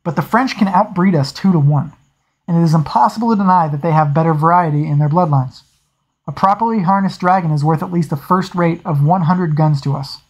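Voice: medium pitch, fairly quick, lightly acted, low-quality recording. A reader voicing a stern naval captain. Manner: formal, clipped, angry when challenged.

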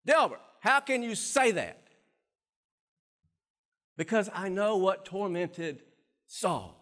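A middle-aged man preaching a sermon from a church stage on a lapel mic, animated and swinging between quiet and loud passages. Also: high voice, slow pace. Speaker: pastor.

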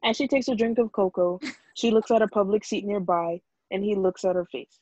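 A woman's voice: medium pitch, a little fast, a little mellow. A woman narrating a script at a table read, reading out stage directions over a video call. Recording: dull lo-fi video call audio.

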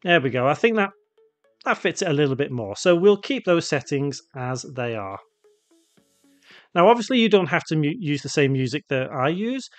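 A man narrating an unscripted software tutorial, casually thinking aloud as he demonstrates each step. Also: fairly high pitch, very animated, normal speed, no room sound, soft background music. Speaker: tutorial presenter.

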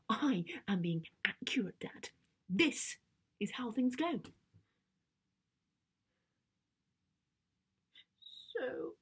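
A woman plays a dramatic girl of almost sixteen in a comedic stage monologue. Her voice is high and breathy, with a slow, drawn-out delivery. She pleads and whines with exasperated, melodramatic insistence. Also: heavily acted. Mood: desperate, melodramatic.

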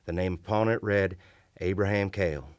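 A middle-aged actor playing a haunted Confederate lieutenant, gravely and formally recounting a horror from his journal in a radio play. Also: deep voice, fairly even intonation, dry recording, lightly acted. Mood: brooding. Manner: grave, formal, deliberate storytelling. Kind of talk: dramatic old-time radio play narration. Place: small radio studio. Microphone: vintage-style studio microphone at close range. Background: music.

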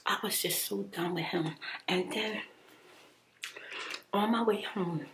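A woman's voice: mid-range voice, slow pace, noisy background. A woman telling a personal story in a heated whisper, emphatic and exasperated, swearing and repeating herself in casual slang.

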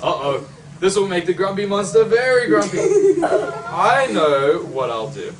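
A young man from Australia reading a children's picture book aloud, expressively, with dramatic pauses and exclamations. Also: sits high, wildly swooping pitch. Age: young adult. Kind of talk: animated children's storybook reading. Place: library, among bookshelves. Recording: distant mic, noisy room ambience.

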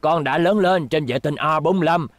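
A man's voice: on the high side, brisk, no room sound, lightly acted. Male actor as proud old father, cartoon space chief, firm and weighty, dry close recording. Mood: stubborn, reassuring. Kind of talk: animated series dub acting.

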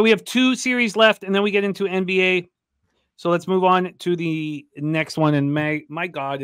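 Clear-voiced middle-aged man hosting sports talk. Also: a little high, fairly bright tone, dry recording, clean studio sound. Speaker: sports show host. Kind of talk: casual sports betting talk. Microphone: large studio mic close to mouth.

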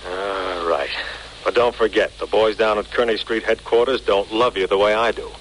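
A man's voice: lowish voice, fairly even intonation, normal speed, fairly bright tone, close to an everyday voice. An actor playing a suspicious, blunt male police detective in 1940s radio drama style. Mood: suspicious, needling. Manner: blunt, terse, needling. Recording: faintly noisy 1940s radio recording.

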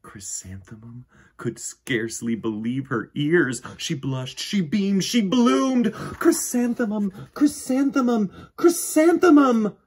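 A man in his forties hosting children's storytime, reading and chatting in a theatrical, expressive way. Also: medium pitch, slow pace, husky. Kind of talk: animated picture-book reading and chat for children. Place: indoor room with bookshelves.

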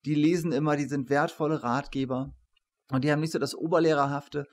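A man's voice: fairly high pitch, fairly even intonation, fairly quick. A man in his forties hosting an interview in a conversational style at a desk microphone. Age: 40s. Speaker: host, professor. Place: indoor room, on a video call.

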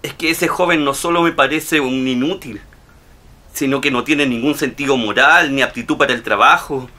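A man's voice: mid-range voice, normal speed, bright, forceful tone. An actor playing a timid, earnest, henpecked middle-aged father in a radio drama. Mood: earnest, worried.

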